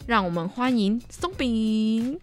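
A woman's voice: on the high side, slow pace. A young woman with a Taiwanese Mandarin accent hosting a podcast in a casual, chatty, giggly and self-deprecating way. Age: young adult. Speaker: podcast host. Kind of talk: casual chat. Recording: background music behind the voice. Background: music.

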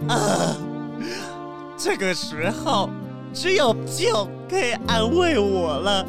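A woman's voice: mid-range voice, big pitch swings, slow, vocal fry, over music, heavily acted. A woman voicing a melodramatic, heartbroken male painter in a children's radio drama, wailing self-pityingly. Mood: despairing, tipsy.

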